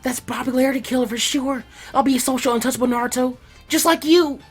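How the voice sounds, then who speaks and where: A man's voice: very high voice, steady tone, fast talker, husky, voice pushed up. A feisty teenage ninja girl from an anime fan story, as played by a male reader. She is bossy, anxious and dramatic, speaking emphatically over background music. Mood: panicked. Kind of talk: animated fan fiction character reading. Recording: music behind the voice.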